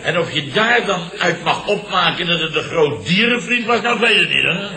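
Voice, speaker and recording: An actor playing a flustered, long-winded middle-aged boss who blusters and repeats himself in a radio comedy. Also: male voice, high-pitched, animated, moderate pace, a crowd in the background. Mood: indignant. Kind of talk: 1970s Dutch radio comedy acting. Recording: roomy old radio recording, studio audience.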